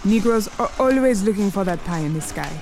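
A woman playing a proud, commanding businesswoman in 1920s Harlem, imperious and cutting, speaking with slow, deliberate weight. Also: medium pitch, lively intonation, darkish tone, soft background music, close to an everyday voice. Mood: haughty commanding. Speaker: lead character. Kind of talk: 1920s period drama acting.

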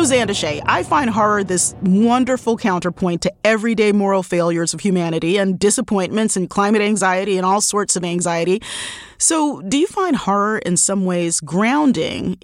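A woman's voice: medium pitch, normal speed, dry recording, clean studio sound. An American woman hosting a conversational radio interview, putting questions to a guest. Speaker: podcast host, interviewer.

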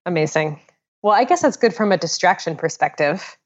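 A woman with a somewhat low voice co-hosts a podcast. She talks in an easygoing, bantering way, as casual chat, into a small portable mic on a phone. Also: expressive, a little fast, highs cut off. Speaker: podcast co-host. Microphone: portable mic plugged into a phone.

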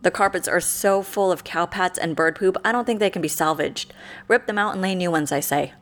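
A woman's voice: fairly low pitch, brisk, fairly bright tone. An actress playing a dry, sceptical, practical woman in an audio comedy, wry and matter-of-fact. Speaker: actor in a comedy fiction podcast. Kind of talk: audio comedy drama acting.

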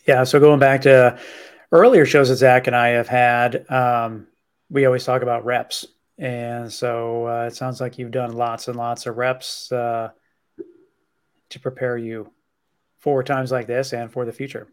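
A man's voice: mid-range voice, flat delivery, unhurried, clear, resonant tone. A man co-hosting a podcast, asking questions casually with many "like"s and "you know"s. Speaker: podcast co-host.

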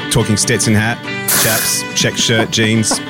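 A man's voice: on the low side, little pitch movement, slow pace, over loud music. A man co-hosting a radio show, chiming in with wisecracks. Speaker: co-host. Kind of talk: casual podcast chat.

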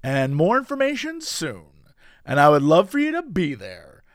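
A man with a high-pitched voice co-hosts a comedy podcast in a loose, rambling style. His delivery swings up and down playfully, and the recording is dry and close. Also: wildly swooping pitch, moderate pace, no echo. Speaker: podcast co-host. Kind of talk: casual comedic podcast chat.

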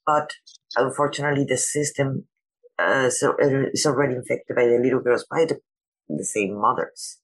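A woman with a non-native English accent hosting a conversational interview, halting and rephrasing as she goes. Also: very deep voice, husky, low-quality recording. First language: not English. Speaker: host, interviewer.

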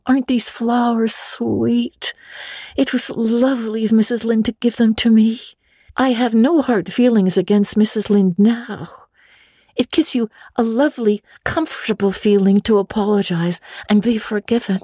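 A woman's voice: a little high, warm tone, breathy edge, no echo, studio quality, pitched above the performer's own voice. A woman voicing an imaginative, talkative young girl in a storybook reading, earnest and dramatic, with gushing chatter.

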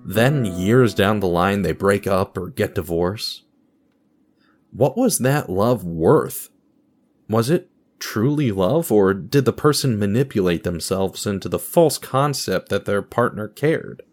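A man with a fairly low voice narrates a scripted radio play over guitar music. His delivery is theatrical and wry, like a storyteller confiding directly in the listener. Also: expressive, slow pace. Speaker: narrator and host of an audio drama.

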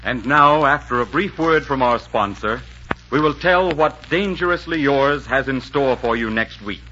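A man's voice: medium pitch, normal speed. A man reading scripted copy as a 1940s radio announcer, polished and emphatic. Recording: muffled 1940s radio, faint music behind.

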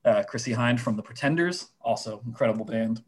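A man whose voice is going hoarse, chatting casually on a sports podcast with lots of fillers and restarts. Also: medium pitch, brisk. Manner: rambling, many fillers and restarts. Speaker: minor league baseball writer guest.

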